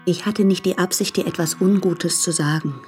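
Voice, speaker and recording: An actress playing an anguished married woman in a radio play, speaking hesitantly in a hushed, breathy voice. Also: lowish voice, normal speed, lightly acted. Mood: anxious tenderness. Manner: hesitant, subdued. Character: anguished married woman torn between husband and lover. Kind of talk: intimate German radio play monologue. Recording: close dry studio, music behind.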